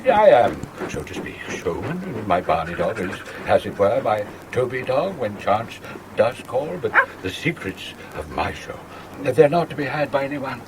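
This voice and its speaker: An actor playing a gentle, mysterious old Punch and Judy showman in an audio drama, speaking in cryptic, halting, old-fashioned phrases. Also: medium pitch, very animated, noisy background. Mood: mysterious, guarded. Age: older.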